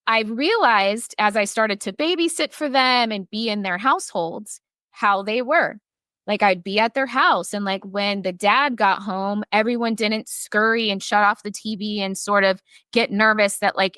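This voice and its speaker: Woman, bright tense voice, frank and chatty, dry close mic. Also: medium pitch, unhurried, dry recording. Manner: frank, talkative, swears casually, many restarts. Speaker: podcast guest. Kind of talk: casual personal storytelling.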